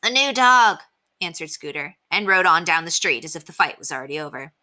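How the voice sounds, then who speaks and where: A woman, a teacher, reading a children's chapter book aloud with expressive storytelling. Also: low-pitched, fast. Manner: expressive storytelling with softer narration.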